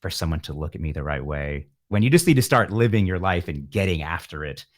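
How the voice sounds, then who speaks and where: A man's voice: low voice, lively intonation, brisk, dry recording, clean studio sound. A man speaking as a musician guest in an interview, reflective and earnest, taking discursive, self-deprecating detours as he explains himself.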